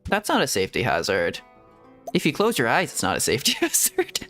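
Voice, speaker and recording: A man's voice: mid-range voice, wildly swooping pitch, brisk, pushed and bright, faint music behind. A man streaming a game, rambling to himself in casual commentary and giggling often. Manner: rambling self-talk with frequent giggles. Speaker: VTuber streamer.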